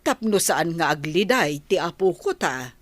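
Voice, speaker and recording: A woman acting an older grandmother who is arranging her grandson's wedding, speaking in a measured, earnest way in a radio comedy drama. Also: fairly low pitch, expressive, no echo.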